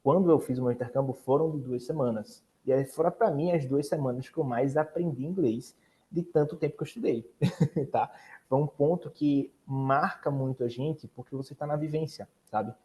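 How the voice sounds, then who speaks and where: A man's voice: medium pitch, moderate pace, mellow. A man, an exchange program advisor explaining in casual chat and addressing viewers directly.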